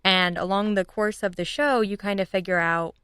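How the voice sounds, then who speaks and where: A woman's voice: mid-range voice, fairly even intonation, moderate pace, a bit bright, smooth, no room sound, clean studio sound. A young woman chatting casually as a guest on a podcast. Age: young adult. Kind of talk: casual chat.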